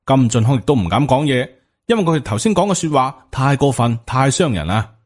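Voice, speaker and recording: A man's voice: medium pitch, big pitch swings, brisk. A man with a Hong Kong Cantonese accent reads a novel aloud as a scripted audiobook narrator.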